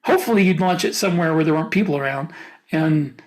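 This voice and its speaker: A man co-hosting a casual podcast discussion, talking in a halting way as he thinks aloud. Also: fairly high pitch. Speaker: podcast co-host and author.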